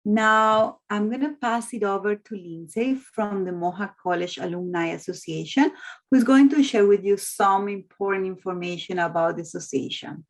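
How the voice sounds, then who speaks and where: A woman's voice: medium pitch, normal speed. A woman hosting a video-call webinar, reading out event announcements with small slips before handing over to the next speaker. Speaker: webinar host.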